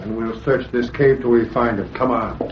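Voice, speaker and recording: An actor playing a ruthless, domineering outlaw leader in an old radio adventure, giving curt, menacing orders. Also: male voice, medium pitch, steady tone, moderate pace, natural voice. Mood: tense, bossy. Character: ruthless, scheming male outlaw boss, impatient and domineering. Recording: dull, noisy vintage radio recording.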